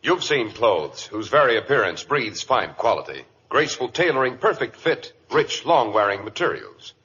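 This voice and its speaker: A man's voice: mid-range voice, big pitch swings, moderate pace. An American man reading a 1940s radio advertisement in a polished, emphatic announcer's manner. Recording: dull vintage radio recording with hiss.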